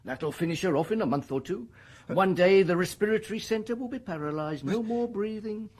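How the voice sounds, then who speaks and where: An actor playing a bland, clinical official in a radio drama, smoothly matter-of-fact and reassuring. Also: male voice, sits high, expressive, fairly quick. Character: brisk, bland official calmly explaining a dystopian world.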